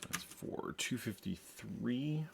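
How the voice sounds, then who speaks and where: A man's voice: mid-range voice, lively intonation, takes it slowly, creaky, background noise. A man narrating his own programming as he works, thinking aloud with wry asides and frequent self-corrections. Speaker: programmer streaming his coding. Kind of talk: thinking aloud while coding. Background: faint keyboard typing.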